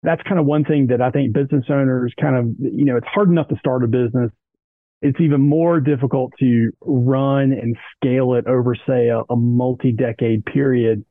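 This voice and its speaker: A man, a business advisor and interview guest, explaining finances in a measured way, often saying "you know" and "kind of". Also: mid-range voice, fairly even intonation, dry recording. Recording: dry tinny phone-like line.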